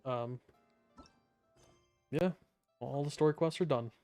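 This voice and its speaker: A mid-pitched man giving sarcastic, casual commentary as he plays, humming and scatting between lines. Game music plays faintly behind his voice. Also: takes it slowly, a little mellow, dry recording. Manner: sarcastic, hums and scats while playing. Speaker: streamer.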